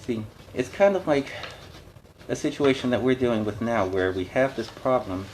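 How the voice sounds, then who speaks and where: A man's voice: mid-range voice, lively intonation, moderate pace, darkish tone, a little hiss or hum, rough recording. A man reading a storybook aloud as host, adding conversational, explanatory asides in a casual style.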